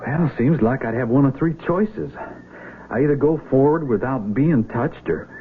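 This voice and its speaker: An actor playing an eager, naive young Civil War recruit with a folksy, stammering drawl, heard on a tinny old radio broadcast. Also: male voice, medium pitch, moderate pace, a little hiss or hum, natural voice. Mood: earnest. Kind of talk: 1970s radio drama acting.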